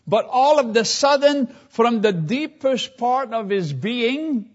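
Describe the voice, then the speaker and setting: A man preaching a sermon, teaching slowly and deliberately and reading scripture aloud. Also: extremely high pitch, animated, highs cut off.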